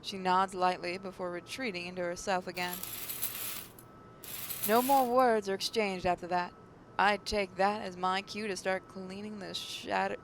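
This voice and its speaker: A gaming host with a creaky, mid-pitched voice reads visual novel text aloud and chats about it. Words occasionally trip and get repeated. The recording carries a noticeable rumbling noise. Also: fairly bright tone. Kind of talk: reading narration aloud, with casual commentary. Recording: clearly noisy mic with low rumble.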